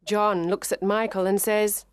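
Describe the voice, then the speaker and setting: A woman narrating a scripted children's story for language learners, deliberate and carefully enunciated. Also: mid-range voice, little pitch movement, clear, resonant tone, no room sound, clean studio sound.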